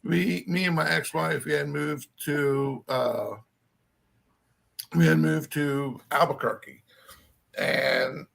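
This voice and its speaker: Gravelly, bright-voiced man telling stories. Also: fairly high pitch, fairly even intonation, slow pace, pauses often, slight background noise. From born in Maryland, grew up in Louisiana. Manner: rambling, self-correcting storyteller. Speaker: chat participant. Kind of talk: casual storytelling chat.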